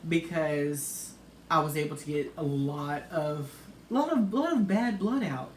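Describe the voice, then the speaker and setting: A soft-spoken woman vlogger in casual, sassy chat, talking about herself. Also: deep voice, unhurried. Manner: chatty, sassy, animated. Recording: echoey room with background noise.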